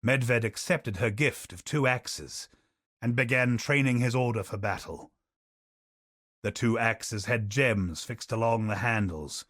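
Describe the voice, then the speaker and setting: Solemn male lore-teller for a fantasy game: low, grave, unhurried, close and dry. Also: fairly bright tone, no echo. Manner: grave, measured storytelling. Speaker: game character narrator. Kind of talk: scripted lore narration.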